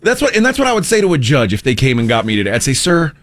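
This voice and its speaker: A man's voice: medium pitch, huge pitch swings, very fast, no echo. A man hosting a radio talk show in casual banter, wry and self-mocking, often restarting his sentences. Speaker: radio host.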